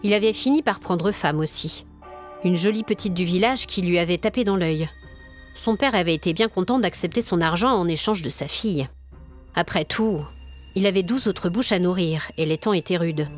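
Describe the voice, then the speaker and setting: A woman's voice: on the low side, moderate pace, soft background music. A woman storyteller reading scripted narration for a dramatic audio tale.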